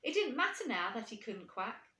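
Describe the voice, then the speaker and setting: Animated woman reading a children's story. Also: fairly high pitch, moderate pace. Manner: expressive, addressing young listeners with questions. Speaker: primary school teacher reading aloud. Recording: echoey room.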